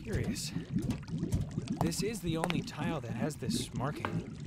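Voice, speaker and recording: A man acting a beleaguered young adventurer trapped in a dungeon in a fantasy audio drama, talking to himself in halting asides. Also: fairly high pitch, very animated, very noisy. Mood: puzzled, curious. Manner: talks to himself in halting, breathy asides with sighs. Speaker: voice actor.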